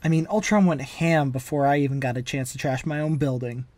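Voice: medium pitch, fairly quick, darkish tone, no room sound, lightly acted. A performer voicing a wisecracking, manic masked antihero in a comic-book dub, flippant and theatrical but caring underneath. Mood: flippant, joking. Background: background music.

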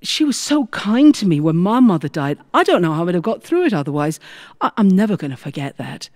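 Woman voicing an earnest male prison inmate, animated. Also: lowish voice, brisk, dry recording, studio quality. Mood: tender, grieving. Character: male prison inmates, plain-spoken, sentimental grown men.

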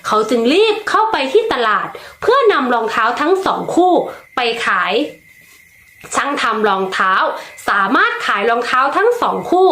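A woman reads a children's fairy tale in a high, bright register, her pitch rising and falling in a lilting storyteller's way. The reading is unhurried and expressive. The room around her adds a noticeable echo.

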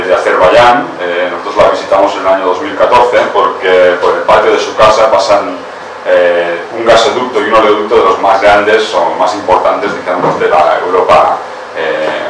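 A man's voice: lowish voice, distant mic. A tired man in his thirties from Barcelona with a Catalan accent, giving a hesitant talk full of fillers and restarts in a small seminar room. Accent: Catalan-accented Spanish. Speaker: guest speaker. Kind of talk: public lecture with slides. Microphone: far room camera. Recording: very echoey room from afar, background noise.

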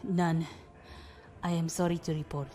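An actress playing a stoic armored space pirate who speaks formally and precisely in an audio drama. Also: female voice, low-pitched, flat delivery, unhurried, warm tone, faint music behind. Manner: formal, precise, unemotional wording.

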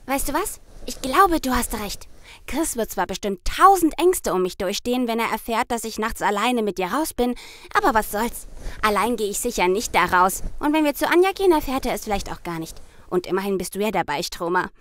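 A woman's voice: fairly high pitch, lively intonation, a little fast, fairly bright tone. A woman playing a plucky, affectionate girl in a children's audio drama. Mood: determined.

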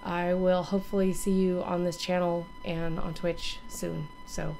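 A hoarse woman in her thirties, still recovering from illness, gives a rambling, casual channel update to a webcam from her home gaming room. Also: on the low side, level tone, a little slow, a little mellow, slight background noise. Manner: rambling, many ums and restarts. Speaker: gaming streamer and YouTuber.

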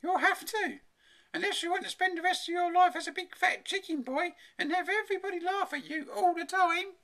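A shrill, melodramatic mother from a comic children's story, as put on by the storyteller. The voice is pitched far up and pushed bright and forceful. She is bossy and exclaims everything with exaggerated drama. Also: brisk, heavily acted. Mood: matter-of-fact scolding. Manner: exclaiming, over-dramatic, stammering in shock.

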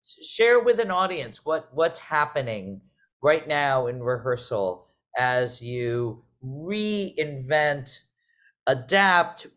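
A woman's voice: low-pitched, sing-song, slow pace, fairly bright tone. Woman, middle-aged, casual host, self-interrupting, conversational, video call. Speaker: host, theatre artistic director. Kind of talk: casual hosted panel conversation. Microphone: video call microphone.

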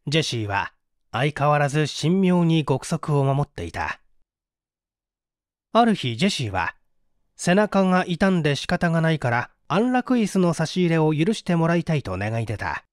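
A man's voice: on the high side, sing-song, normal speed, no room sound, clean studio sound. A man reading a mystery story as an audiobook narrator, theatrical and suspenseful in his delivery. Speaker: audiobook reader.

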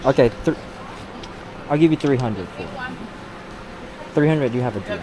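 A man's voice: medium pitch, lively intonation, normal speed, warm tone. A man in his thirties with an American accent, chatting casually and haggling as a vlogger at a market stall. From United States. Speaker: vlogger and customer. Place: busy covered market stall. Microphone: handheld vlog camera held by him. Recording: noisy market background.

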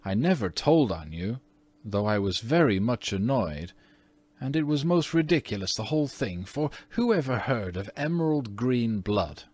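A man's voice: fairly low pitch, big pitch swings, unhurried, dry recording. A man voicing a prim, spirited young American girl in a storybook, earnest and scolding. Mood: indignant. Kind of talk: storybook character reading.

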